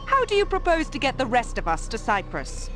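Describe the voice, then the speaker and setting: Proud, high-voiced defiant noblewoman. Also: huge pitch swings, brisk, pushed and bright, heavily acted. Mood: indignant. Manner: haughty, sarcastic. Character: proud, defiant young Templar noblewoman turned mercenary. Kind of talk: video game cutscene acting. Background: music.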